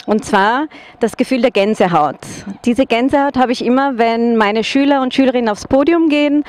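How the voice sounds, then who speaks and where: Middle-aged Austrian woman teacher, higher voice, measured and earnest, handheld mic outdoors. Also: dry recording. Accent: Austrian German. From Vorarlberg, Austria. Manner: earnest, measured, with occasional hesitations. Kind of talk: personal testimonial to camera. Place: outdoors in front of a school building. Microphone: handheld microphone.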